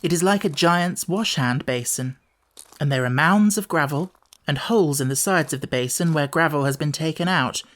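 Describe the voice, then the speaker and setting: A man reading a children's audiobook as scripted storybook narration. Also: on the high side.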